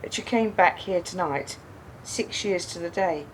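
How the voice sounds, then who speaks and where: An actress in a radio play voicing an embittered, grieving widow who speaks with accusing, pointed questions. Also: female voice, on the low side, a little slow, slight background noise, close to an everyday voice, poor audio. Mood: bitter, reflective. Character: embittered grieving widow confronting her husband's killer.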